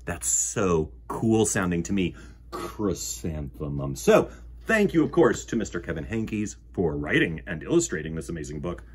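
A man in his forties hosting children's storytime, reading and chatting in a theatrical, expressive way. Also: low-pitched, moderate pace, a little hiss or hum. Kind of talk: animated picture-book reading and chat for children. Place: indoor room with bookshelves.

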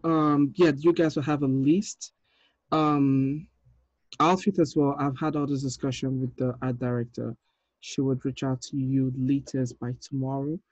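A man in his thirties with a medium-pitched voice gives instructions in an informal online meeting. He talks haltingly, and the call audio sounds dull and muffled. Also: no echo. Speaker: short film director leading a table read. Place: indoor room, on a video call.

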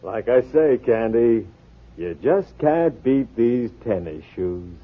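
An actor playing a gruff, grudging police detective in a 1940s radio drama. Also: male voice, medium pitch, lively intonation, slow. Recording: tinny 1940s radio recording.

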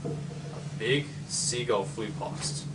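A young man from Australia reading a children's picture book aloud, expressively, with dramatic pauses and exclamations. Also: medium pitch. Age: young adult. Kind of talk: animated children's storybook reading. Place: library, among bookshelves. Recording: distant mic, noisy room ambience.

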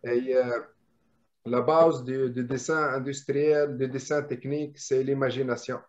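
A man's voice: mid-range voice, steady tone, a little slow, a little mellow. A man whose first language is Arabic, a draftsman guest explaining his work on a video call with many restarts and repeated words. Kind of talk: casual video call discussion. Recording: muffled lo-fi call audio.

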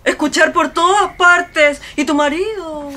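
An actress playing a domineering, snobbish middle-aged wife in a radio drama. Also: female voice, extremely high pitch, a little slow, sharp tone, heavily acted. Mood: indignant, reproachful.

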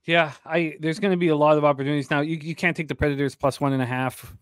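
A man's voice: a little high, fairly even intonation, fast talker, smooth, no echo. A middle-aged man hosting casual sports betting talk, speaking emphatically in short phrases. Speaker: sports show host. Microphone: large studio mic close to mouth.

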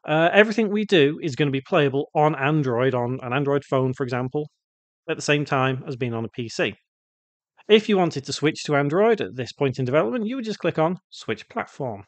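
A man presenting an unscripted software tutorial, explaining step by step and posing questions that he answers himself. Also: a little high, animated, a little fast, dry recording.

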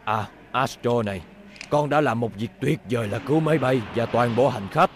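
A man voicing a kindly, calm elderly scientist in a cartoon dub. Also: mid-range voice, normal speed, slight background noise, lightly acted. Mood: fatherly. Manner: calm, fatherly and explaining. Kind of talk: cartoon dubbing. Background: music score.

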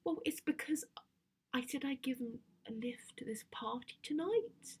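Young woman acting a melodramatic, husky teenage girl. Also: high-pitched, lively intonation, measured pace, a little mellow, voice pushed up, exaggerated voice. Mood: excited, exasperated. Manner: melodramatic, pleading, exasperated. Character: dramatic, pleading, whiny teenage girl nearly sixteen. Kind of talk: comedic stage monologue.

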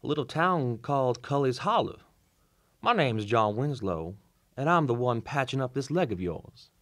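A folksy old country doctor, lively. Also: male voice, mid-range voice, moderate pace, no echo. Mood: reassuring. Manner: folksy, drawling storyteller. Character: kindly old small-town Southern country doctor. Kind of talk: old-time radio drama acting. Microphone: shared vintage-style studio microphone.

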